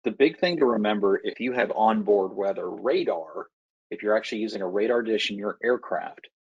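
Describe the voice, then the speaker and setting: An American man working as an air traffic controller, explaining procedures in a measured, instructive way with deliberate pauses. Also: lowish voice, husky, dry recording, dull audio. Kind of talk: explanatory Q&A talk to pilots.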